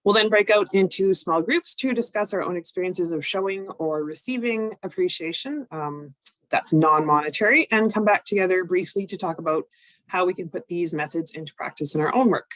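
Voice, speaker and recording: A woman, recovering from a cold, presenting an online training session in a measured, explanatory way with frequent ums. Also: on the low side, a bit bright, no echo. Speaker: workshop presenter.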